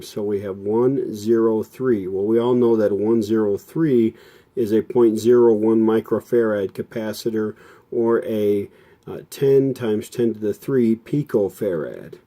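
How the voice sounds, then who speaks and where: A man's voice: fairly low pitch, a little slow, dark tone. An American man narrating hands-on electronics repair casually, in a plain-spoken, folksy, explanatory way. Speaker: host, electronics restorer.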